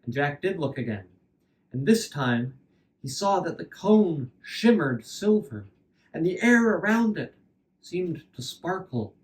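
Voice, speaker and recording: A man performs a fairy tale as an oral storyteller. His voice is fairly high and his delivery unhurried, dwelling on phrases and echoing them for dramatic effect. The microphone catches him from a slight distance. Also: big pitch swings. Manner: deliberate, repeats phrases for effect.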